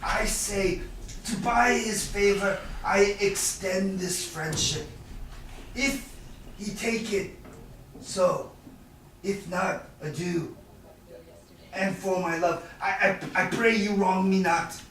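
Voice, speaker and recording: Man acting a high-voiced bitter moneylender, echoey room. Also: slow, pauses often, fairly bright tone. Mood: wry, reasoning. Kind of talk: staged reading of Shakespeare. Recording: distant, echoey room with background noise.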